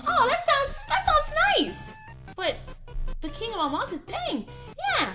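A woman's voice: extremely high pitch, wildly swooping pitch, measured pace, pushed and bright, faint music behind, pitched above the performer's own voice, full character voice. A woman voicing a sweet, curious child hero in a game roleplay. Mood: relieved, unsure.

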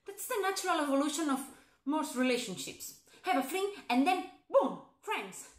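A woman's voice: high voice, big pitch swings, normal speed. An actress playing a heartbroken young woman being dumped who feigns indifference, in a theatrical monologue. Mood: forced cheer. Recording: echoey, roomy sound.

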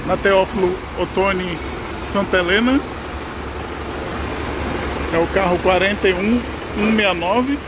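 A man's voice: unhurried, pauses often. A Brazilian man giving casual travel vlog commentary, pointing out buses as they come and go, recorded on the spot. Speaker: bus travel vlogger. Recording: noisy outdoor handheld recording with vehicle rumble.

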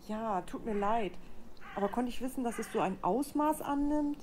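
Female criminal accomplice, played by a woman, grudging, soft dark tone, lively pitch, radio play. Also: moderate pace, a little hiss or hum, natural voice. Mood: apologetic, defensive. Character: female kidnapping accomplice, greedy, grudgingly giving in. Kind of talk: amateur radio play acting.

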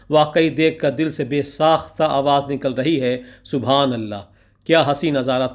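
A man narrating his weekend outing in a voice-over, with flowery phrasing, recited couplets and words of praise. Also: medium pitch. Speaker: vlogger narrating a food outing.

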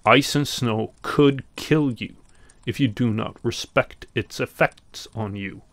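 Slow, gravelly-voiced Norwegian man. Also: medium pitch, fairly bright tone, dry recording. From Norway. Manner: slow and deliberate, with pauses and some fillers. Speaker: podcast host. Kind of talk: reflective commentary on mythology.

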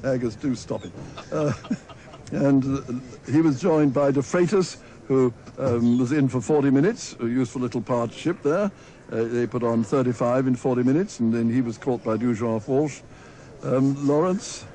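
A man's voice: medium pitch, moderate pace, mellow. A British man giving a live cricket summary on the radio. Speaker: cricket commentator. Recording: noisy old radio broadcast.

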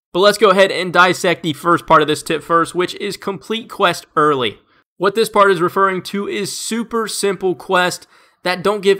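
Bright high-voiced man, stuffed up. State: stuffed up, congested. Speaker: gaming video creator. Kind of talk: scripted game tips voice-over.

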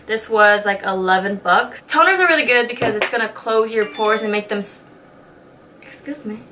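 A woman's voice: medium pitch, moderate pace, bright, forceful tone, a little hiss or hum. A woman in her twenties chatting casually through a beauty tutorial, bubbly and slangy, swearing casually, in a small indoor studio. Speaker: beauty vlogger.

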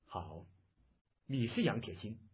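A man voicing a blustering army officer who barks orders loudly, in lo-fi phone-like audio. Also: lowish voice, big pitch swings, slow, slightly rough, exaggerated voice. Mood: barking. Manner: loud, barking orders.

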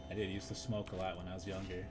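A man in his thirties with a hoarse, raspy voice, chatting in a laid-back, unhurried way while streaming a game. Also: low-pitched, level tone, over loud music. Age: 30s. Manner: laid-back, unhurried, drifting between game remarks and stories. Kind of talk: casual live-stream chat while gaming.

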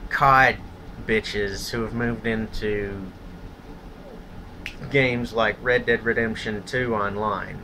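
A man's voice: lowish voice, sharp tone, a little hiss or hum, poor audio. A man delivering a blunt, profane, contemptuous opinion rant as a solo vlogger.

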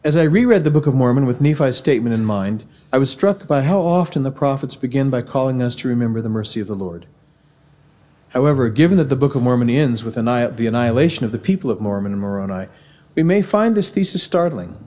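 A middle-aged man with a Missouri accent giving a formal devotional address at a university, in a measured, earnest lecturing manner, at a podium microphone in a large auditorium. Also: mid-range voice, expressive, mellow. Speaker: university speaker.

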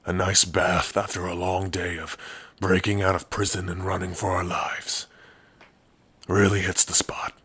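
A man playing a big, gruff orc with a rough, husky voice, teasing yet secretly soft-hearted, in an ASMR roleplay. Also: low voice, flat delivery, unhurried, no echo, exaggerated voice. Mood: teasing, relaxed. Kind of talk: ASMR roleplay addressed to the listener.

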